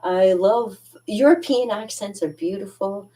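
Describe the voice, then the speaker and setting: A woman's voice: mid-range voice, a little slow, far from the mic. A woman with a Canadian accent, chatting casually and rambling between songs, laughing often. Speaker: channel host. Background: loud ukulele strumming.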